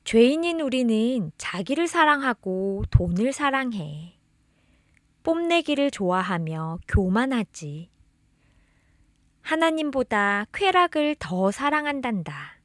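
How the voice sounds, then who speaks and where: A woman narrates a children's religious storybook in a fairly high voice, speaking directly to a beloved child. Her delivery is expressive and unhurried. The scripted lines are told as a story. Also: no echo, clean studio sound. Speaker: storybook narrator reading children's catechism tales.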